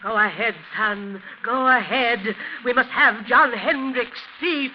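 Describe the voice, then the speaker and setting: A vengeful old ghost mother from 1940s radio horror. Her words come very slowly, solemn and full of menace. The old recording is dull, with some noise. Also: on the high side. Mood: vengeful, relentless. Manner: slow, solemn, ominous delivery.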